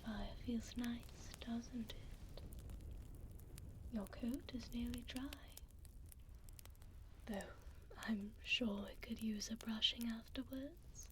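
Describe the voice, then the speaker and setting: Woman playing a slow, whispering, gentle vampire duchess. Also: on the high side, slight background noise. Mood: soothing. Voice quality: breathy whisper. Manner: soothing, slow, elegant, tender. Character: gentle, refined vampire duchess tending a wounded puppy. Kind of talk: ASMR roleplay. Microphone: close binaural microphone.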